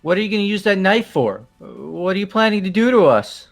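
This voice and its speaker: A man's voice: high-pitched, sing-song, a little fast, creaky, soft background music, heavily acted. A man voicing a dim, easygoing, exasperated young man in a game playthrough. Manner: incredulous, casual, earnest. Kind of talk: voiced visual novel game playthrough.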